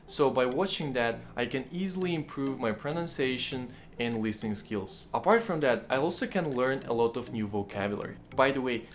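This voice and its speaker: A man's voice: medium pitch, a little hiss or hum. Young man giving study tips. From Kazakhstan. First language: Kazakh. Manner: friendly, addresses viewers as guys, lively emphatic starts. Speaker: language-learning vlogger. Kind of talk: casual study-tips talk to camera.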